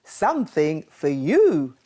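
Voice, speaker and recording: A kindly father bear from a storybook, voiced by a woman reading aloud. He speaks slowly and reassuringly, with an easygoing temper and an expressive lilt. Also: sits low, heavily acted. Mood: fond. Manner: slow, reassuring.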